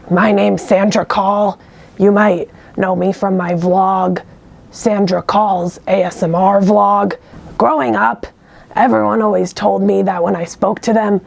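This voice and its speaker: A woman voicing an awkward young wannabe ASMR vlogger who talks in a hesitant, halting, oblivious way. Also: mid-range voice, gravelly, a little mellow. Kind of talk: comedic character monologue.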